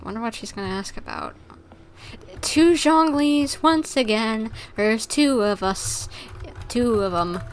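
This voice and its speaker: A woman's voice: fairly high pitch, takes it slowly, slight background noise. A woman livestreaming a game, giving casual, playful and chatty reactions. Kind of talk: casual live commentary over a game.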